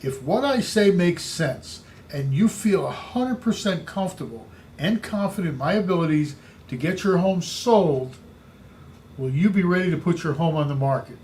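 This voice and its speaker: Male sales trainer, booming voice, slightly high pitch, emphatic repeating delivery, faint room noise. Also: animated, moderate pace, a little mellow. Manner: emphatic, repetitive coaching delivery. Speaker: real estate sales trainer. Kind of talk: instructional podcast talk.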